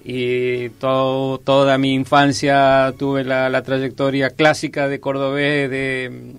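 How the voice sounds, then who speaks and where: A man's voice: medium pitch, monotone, slow, clean tone, no echo, faint music behind. A man from Argentina, a guest in an interview, explaining things with enthusiasm. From Argentina, San Luis and Córdoba. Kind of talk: conversational interview.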